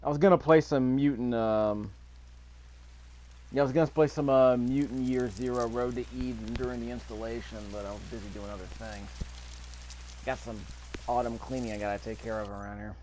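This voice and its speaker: A man's voice: medium pitch, fairly even intonation, fairly quick, a little mellow, low-quality recording. A man giving offhand, rambling gameplay commentary and reading prompts aloud as he plays. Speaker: gaming video host. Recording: faint noise behind the voice.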